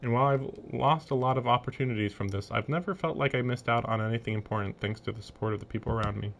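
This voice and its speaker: A man with a medium-pitched voice reads visual novel text aloud on a livestream. He slips between narration and casual, amused asides. A faint noise sits in the background. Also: fast talker, vocal fry.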